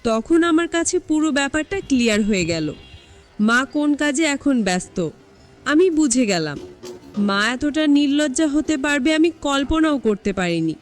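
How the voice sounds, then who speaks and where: High-pitched, animated woman narrating over music. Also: moderate pace. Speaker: story narrator. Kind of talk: scripted first-person story narration.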